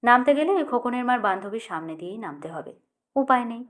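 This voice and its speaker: A woman's voice: a little high, animated, quick pace. A woman narrating a literary short story expressively, as an audiobook reader.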